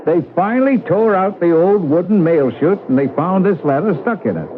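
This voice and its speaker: A thin old radio recording with faint noise carries a chatty, amused postal coworker. He is high-pitched and lively, in casual radio drama style. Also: moderate pace, phone-quality sound. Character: chatty, amused male post office coworker. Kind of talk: 1970s radio drama acting.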